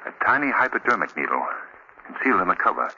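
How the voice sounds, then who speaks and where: Old dull radio recording, debonair gentleman sleuth, very sharp forceful delivery, animated, authoritative. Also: lowish voice, quick pace, exaggerated voice. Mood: urgent. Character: confident, debonair gentleman amateur detective, urbane and authoritative. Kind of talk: 1940s radio drama acting. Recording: dull, faintly noisy 1940 radio recording.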